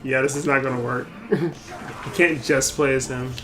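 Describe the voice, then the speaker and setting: A man's voice: medium pitch, steady tone, normal speed, music in the background. A man streaming a game with casual commentary, reacting aloud as he plays.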